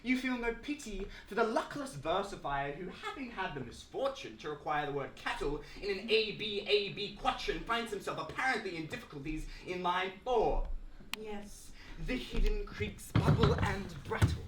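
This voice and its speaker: Teen guy playing stuffy aussie male academic coming unglued, stage monologue, grand speechy delivery, sharp forceful voice, echoey room with faint noise. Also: sits high, expressive, normal speed. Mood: wounded, indignant. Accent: Australian. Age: teens. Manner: pompous, florid, oratorical. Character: pompous, aggrieved male academic lecturer slowly unravelling. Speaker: student actor. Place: indoor school drama showcase, filmed performance. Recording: roomy echoing stage, faint noise, clicks.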